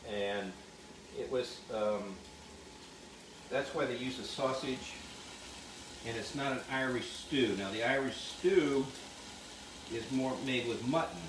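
A man talks a viewer through a rustic dish in a roomy, echoey kitchen, heard from a few steps away from the mic. Frying and sizzling carry clearly behind his relaxed, chatty explanations. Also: mid-range voice. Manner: chatty, explains while he works. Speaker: cooking show host. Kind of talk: casual cooking demonstration. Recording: roomy kitchen sound, mic at a distance, clear background noise.

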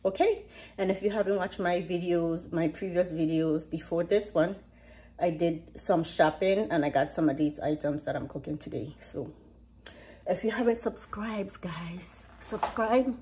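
A middle-aged woman with a Virgin Islands Caribbean accent talks to the camera in a casual vlog style. Her voice sits a little low for a woman. She is emphatic and often repeats a word or phrase to drive it home. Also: a little slow, dark tone. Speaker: vlogger, host. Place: home kitchen, indoors.

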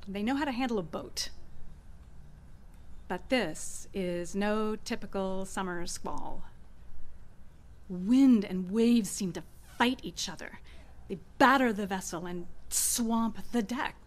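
A middle-aged woman pastor preaching a sermon in an outdoor church garden, speaking into a stand mic at a lectern with expressive pauses. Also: mid-range voice.

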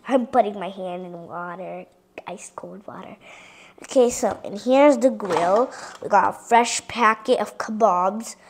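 A female voice giving a chatty tour of a toy dollhouse playset, addressing viewers directly and sometimes dropping into soft whispers. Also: mid-range voice, a little slow, slight background noise. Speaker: toy reviewer.

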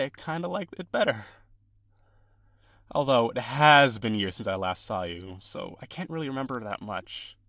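A young man playing a friendly, sentimental old friend in an audio roleplay, casual and expressive with some hesitation. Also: medium pitch, gravelly, no echo, studio quality, full character voice. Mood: casual, reflective. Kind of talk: ASMR-style roleplay, one side of a conversation.

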